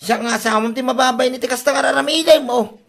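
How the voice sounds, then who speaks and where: A woman's voice: high-pitched, quick pace, gravelly. A woman playing an exasperated, scolding husband in an Ilocano comedy drama, with an Ilocano accent. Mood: embarrassed, scolding. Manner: scolding, exclamatory.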